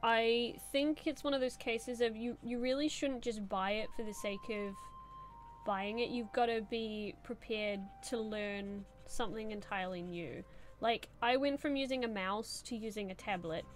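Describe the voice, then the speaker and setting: A young woman talks her way through a drawing session on stream, rambling between chat questions. Her voice sits slightly high and she is full of "like" and "um". Quiet music plays behind her. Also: normal speed. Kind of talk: casual live-stream chat while drawing.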